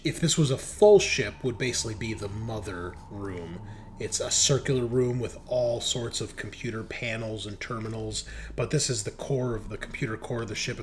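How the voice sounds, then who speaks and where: Man game master over clear background noise. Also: medium pitch. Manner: halting, improvised descriptions with throat clearing. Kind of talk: narrating a tabletop roleplaying game.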